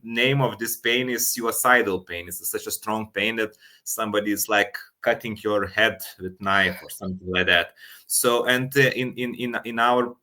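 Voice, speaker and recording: A Lithuanian man speaks as an interview guest with a Lithuanian accent. His voice is a bit low yet bright and forceful. His non-native phrasing is halting, often repeating words as he goes. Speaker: guest, company co-founder and sleep coach. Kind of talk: conversational interview answers.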